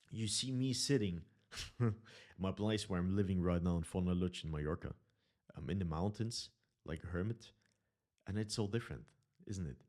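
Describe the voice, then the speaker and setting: A man with a German accent, a native German speaker, earnestly teaching about consciousness over slides and often pausing to correct his words. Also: low-pitched, a little mellow. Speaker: consciousness mentor.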